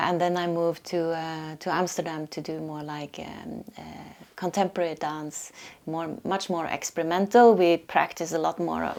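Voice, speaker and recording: Middle-aged Norwegian woman, yoga teacher, soft and reflective, slightly low voice. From Norway. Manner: soft-spoken, thoughtful, pauses to search for words. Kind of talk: reflective portrait interview. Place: yoga retreat.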